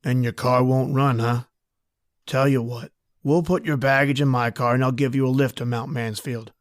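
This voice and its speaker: A man playing a casually friendly but quietly pushy stranger in an old-time radio horror drama. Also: medium pitch, steady tone, moderate pace, lightly acted. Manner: folksy, casual, insistent.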